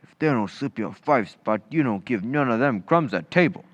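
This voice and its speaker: A man's voice: medium pitch, lively intonation, normal speed, no room sound, lightly acted. A man playing a dim, good-natured teenage busboy in radio comedy, with earnest, folksy, ungrammatical speech. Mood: naively proud. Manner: folksy, ungrammatical, earnest gee-whiz delivery. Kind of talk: old-time radio comedy acting.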